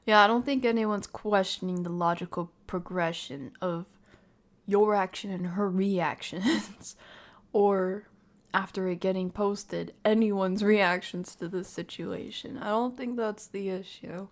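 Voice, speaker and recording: A woman's voice: medium pitch, fairly even intonation, unhurried. A woman livestream host giving casual live reaction commentary, thinking aloud in unfinished, circling sentences.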